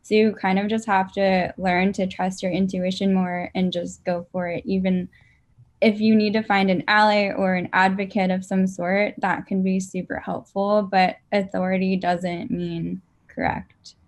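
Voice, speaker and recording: A woman on a panel discussion, speaking in a measured, matter-of-fact way and now and then saying "like". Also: medium pitch, level tone. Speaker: panel guest.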